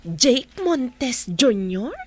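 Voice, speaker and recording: A woman's voice: a little high, very animated, drawn-out words, slight background noise, full character voice. A woman playing a caring, supportive companion in an Ilocano Filipino radio drama. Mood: caring, chatty. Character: supportive female companion of a new mother, caring.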